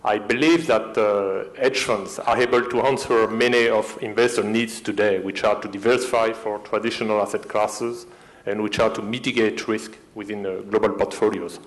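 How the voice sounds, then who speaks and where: A man speaking as a panelist, hesitant and filling pauses with many uhs. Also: fairly low pitch, steady tone, poor audio. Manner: hesitant, many filler sounds. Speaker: panelist at a finance conference. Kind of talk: panel discussion.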